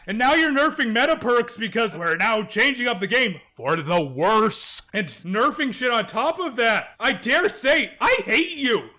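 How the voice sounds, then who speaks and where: A young man rants in a high, bright voice, swearing and breaking into shouts as his anger builds. He stumbles over repeated words, and his voice is wearing thin from the yelling. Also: creaky. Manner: furious, swearing, shouting in bursts, stammering repeats. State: losing his voice. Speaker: streamer ranting about a game patch. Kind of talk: angry gaming rant.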